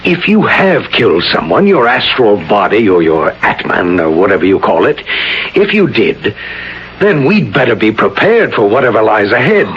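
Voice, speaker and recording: A stern, earnest college head in theatrical old radio style. He is formal and insistent, with broad swings of pitch. The recording is dull with faint background noise. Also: sing-song. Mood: urgent, pressing. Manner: emphatic, formal, deliberate, insistent. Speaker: actor in a radio mystery play. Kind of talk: vintage theatrical radio acting.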